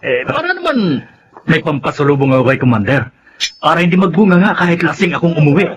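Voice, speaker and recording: A man playing a flattering, chummy drinking buddy in a Filipino radio drama, ingratiating as he talks. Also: fairly high pitch, quick pace, dull audio, background noise. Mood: wheedling. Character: wheedling, flattering drinking buddy with a wife at home.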